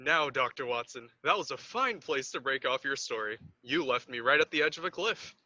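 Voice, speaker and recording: A man's voice: medium pitch, animated, normal speed, bright, forceful tone, no room sound. A man playing a genial announcer in a 1940s radio show, on a dull band-limited recording. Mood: curious. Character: genial male radio announcer interviewing the doctor.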